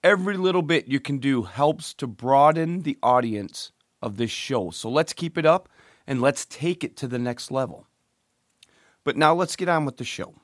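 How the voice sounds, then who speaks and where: A man hosting his own podcast in a chatty, ranting monologue, hoarse and losing his voice from a cold. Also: on the high side, no echo, studio quality. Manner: ranting, chatty, with fillers and restarts. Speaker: podcast host.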